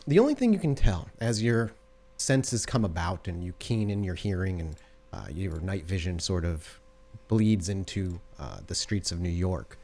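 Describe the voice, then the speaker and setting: A man's voice: fairly low pitch, warm tone. A man acting as game master, narrating scenes for a tabletop roleplay game in a descriptive way.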